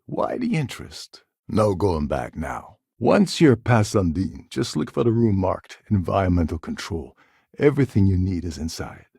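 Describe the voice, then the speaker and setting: Deep gruff space pirate for a video game, wry and world-weary, pitch swings around a lot. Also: male voice, sing-song, moderate pace, warm tone, no echo, clean studio sound, heavily acted. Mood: wry, businesslike. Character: gruff, cynical, self-serving male space pirate. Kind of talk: game character dialogue lines.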